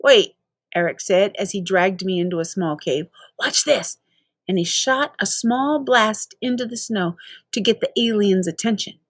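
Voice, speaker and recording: A middle-aged woman reading a children's book aloud in an expressive, animated, emphatic way. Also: mid-range voice, unhurried, no room sound, studio quality. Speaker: read-aloud storyteller.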